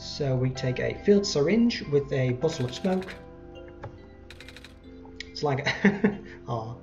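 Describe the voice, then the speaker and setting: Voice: mid-range voice, expressive, slow, a little mellow. Rambly gamer chatting through a playthrough, kinda dull mic, bit of game music underneath. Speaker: let's play host. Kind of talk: casual game commentary.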